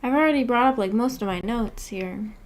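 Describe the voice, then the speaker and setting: A woman hosting a book club livestream, chatting casually and replying to viewers in a giggly, rambling, unhurried way. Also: on the high side. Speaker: livestream host of a visual novel book club.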